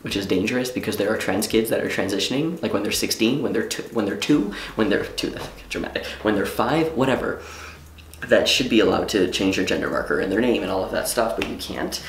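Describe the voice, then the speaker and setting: A man's voice: fairly low pitch, fairly quick, reverberant, a little hiss or hum. A young man from Quebec whose first language is French, chatting casually in a rambling, self-interrupting vlog with some swearing. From Quebec, Canada. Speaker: vlogger. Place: room at home.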